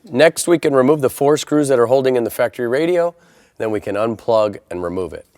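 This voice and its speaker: A man's voice: medium pitch, lively intonation, fairly quick, a little mellow, slight background noise. An American man presenting a step-by-step installation tutorial in an instructional style.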